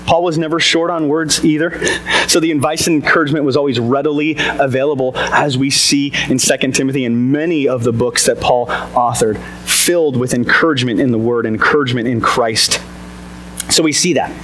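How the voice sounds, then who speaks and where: A man with a boomy voice giving an energetic, chatty sermon to a church congregation, asking the listeners questions. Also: a little fast, a little hiss or hum, rough recording. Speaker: pastor. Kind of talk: casual conversational sermon. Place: church service before a congregation. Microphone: amplified mic on a sound system.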